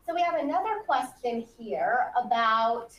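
A woman's voice: on the high side, big pitch swings, a little slow, highs cut off, a little hiss or hum. A woman moderating a panel in a factory studio, summing up answers and reading audience questions. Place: bright open factory studio set.

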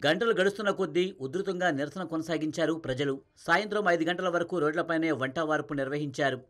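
Brisk, high-pitched male news narrator. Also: a bit bright. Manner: brisk, dramatic news delivery. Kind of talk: scripted news voice-over.

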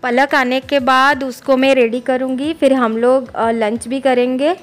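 A woman's voice: sits high, fairly even intonation, unhurried, soft background music. A woman in her thirties chatting casually in a family vlog, mixing in English words, filmed on a phone held at arm's length. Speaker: family vlogger. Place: home and on the road, train station.

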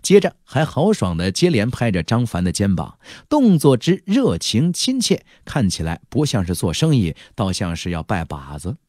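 A man's voice: on the low side, wildly swooping pitch, moderate pace, few pauses, darkish tone, no room sound, clean studio sound. A man narrating an audiobook as a dramatic, colloquial storyteller, with playful, wry asides.

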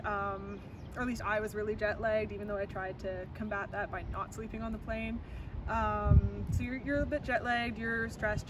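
A young Canadian woman talks through interview answers, loose and chatty with lots of "um" and "really." She stands a few meters from the camera outside, so her voice sounds distant. Loud traffic and street noise run underneath. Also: mid-range voice. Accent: Canadian English. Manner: chatty, many fillers and repeated "really". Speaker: interviewee, law student. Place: outdoors on a campus plaza by a glass building. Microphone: camera mic from a few meters away. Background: outdoor traffic noise.

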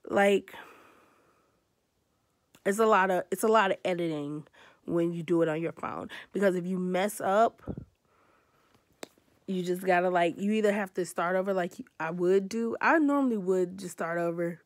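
A young American woman with a stuffy, hoarse cold voice, chatting casually and rambling in a tired way, recorded on a phone held close in her bedroom. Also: lowish voice, normal speed, pauses often. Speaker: beauty vlogger. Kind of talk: casual vlog chat. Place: bedroom, indoors.

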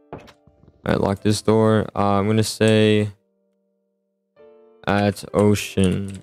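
A man's voice: fairly low pitch, gravelly, darkish tone. A man chatting casually in an improvised game roleplay, often stammering and repeating his words. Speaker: host of a roleplay gaming video. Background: music.

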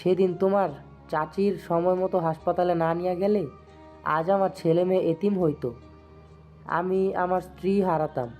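A man's voice: high voice, fairly even intonation, normal speed, darkish tone, natural voice. A man playing a humble, grateful elderly landlord with a pleading, deferential manner. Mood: apologetic, grateful. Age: older. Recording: music bed under the voice.

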